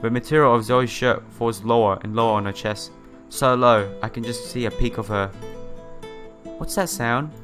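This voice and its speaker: A man's voice: mid-range voice, steady tone, brisk, a bit bright, soft background music. A man reading a visual novel aloud in a theatrical way, breaking off into casual asides and exclamations. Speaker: let's player.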